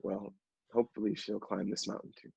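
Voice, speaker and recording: An actor playing an earnest, emotional man who knows addiction firsthand, speaking haltingly in a stage play. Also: fairly low pitch, little pitch movement, gravelly, darkish tone, husky, heavily acted. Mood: somber, wistful. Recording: roomy, dull stage recording.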